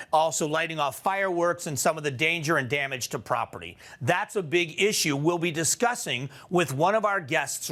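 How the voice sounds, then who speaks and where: Bright-voiced male TV host. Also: a little high. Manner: brisk, clear presenter delivery. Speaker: TV news show host. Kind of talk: scripted news roundup and interview. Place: TV studio.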